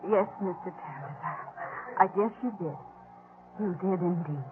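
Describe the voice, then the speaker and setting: A woman's voice: lowish voice, moderate pace, close to an everyday voice. An actress playing a frightened, proper young woman, hesitant and polite, in Western radio drama style. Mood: shaky relief. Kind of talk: old-time Western radio acting. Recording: very noisy, tinny old radio recording.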